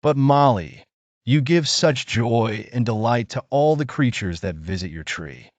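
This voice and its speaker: A man's voice: medium pitch, animated, a little slow, dry recording, natural voice. A man voicing a cheerful, kindly male fruit who encourages a friend in a children's storybook. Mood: encouraging. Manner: encouraging, reassuring.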